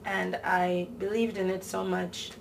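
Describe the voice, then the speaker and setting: A woman's voice: lowish voice, flat delivery, measured pace, gravelly, a little hiss or hum. A woman from Nigeria, a producer, talking casually in a behind-the-scenes interview. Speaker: TV series producer.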